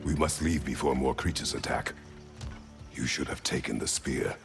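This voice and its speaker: A grim warrior father for a game, very deep and gravelly, curt; score plays beneath. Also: moderate pace. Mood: stern. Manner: curt, commanding, clipped. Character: stern gruff warrior father, grim and terse. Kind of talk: video game cutscene acting. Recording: game audio with music underneath.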